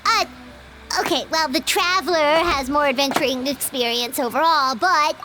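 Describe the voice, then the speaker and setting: A woman doing a squeaky little companion creature. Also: moderate pace, loud music behind the voice, natural voice, poor audio. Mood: chatty. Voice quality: squeaky, childlike. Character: tiny, excitable floating companion creature.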